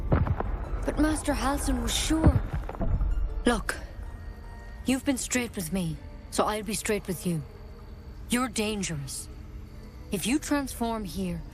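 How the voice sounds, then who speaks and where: An actress playing an earnest, protective druid healer in a video game, plain-spoken and grave, with music behind her. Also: on the high side. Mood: grave, frank. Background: background music.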